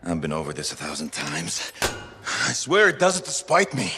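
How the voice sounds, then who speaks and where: An actor playing a stubborn, hot-headed veteran fighter and tinkerer in a video game, gruff, exasperated and emphatic. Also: male voice, deep voice, wildly swooping pitch, moderate pace, background noise, full character voice. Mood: frustrated, angry. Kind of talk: dramatic video game cutscene acting.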